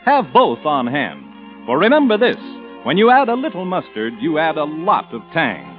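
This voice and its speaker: A man's voice: on the high side, very animated, moderate pace, soft background music. A man reading a scripted advertisement in 1940s radio announcer style. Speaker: commercial announcer. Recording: muffled vintage radio recording.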